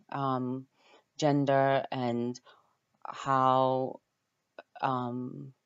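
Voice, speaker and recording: Ukrainian woman student intro, slow n halting, clear smooth voice, kinda dull lo-fi audio. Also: rumbling low. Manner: halting, pausing often to find words. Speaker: university student introducing herself. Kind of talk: informal self-introduction, partly from notes.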